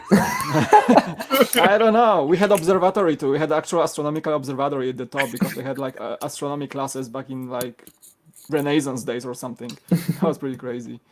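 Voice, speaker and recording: Excitable man from Poland, stammering. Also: on the high side, a little fast, a little hiss or hum. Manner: excitable, stammering repeats, trails off quietly. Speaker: regular co-host joining a live stream. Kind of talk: casual live-stream chat.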